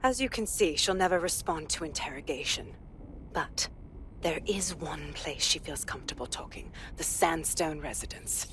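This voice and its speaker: A police inspector with a low, grave voice. She is measured and resolute, briefing an operative in dramatic game style. There is clear background noise behind her. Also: animated, fairly bright tone.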